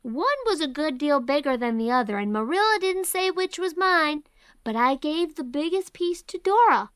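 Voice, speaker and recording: A woman's voice: sits high, lively intonation, normal speed, no room sound, studio quality. A woman voicing a childlike, earnest, sulky little boy in an audiobook drama, with plain-spoken ungrammatical talk. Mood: proud, confident.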